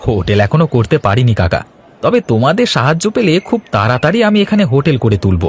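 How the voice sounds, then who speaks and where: A man's voice: mid-range voice, very animated, fast, slightly rough. A man voicing an eager, earnest young village food seller in a folk-tale cartoon.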